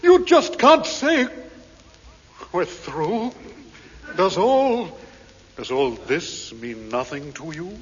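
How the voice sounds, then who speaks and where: An actor playing an overwrought, halting husband who pleads in a melodrama within a 1950s British radio comedy. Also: male voice, high-pitched, sing-song, breathy edge, full character voice. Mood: anguished. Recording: muffled old radio, long reverb, hiss.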